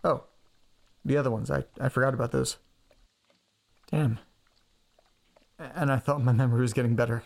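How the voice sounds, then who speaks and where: A man's voice: medium pitch, fairly even intonation, normal speed, vocal fry, pauses often, background noise, lightly acted. An actor as Nate, a hesitant, anxious young man. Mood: anxious curiosity. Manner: hesitant, stammering, earnest. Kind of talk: audio drama acting, naturalistic dialogue.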